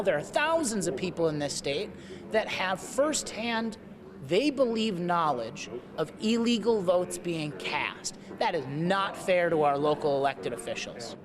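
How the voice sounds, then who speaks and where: A man with an American accent making an argumentative political statement. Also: high voice. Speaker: political speaker at a protest. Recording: crowd clamour behind the speaker.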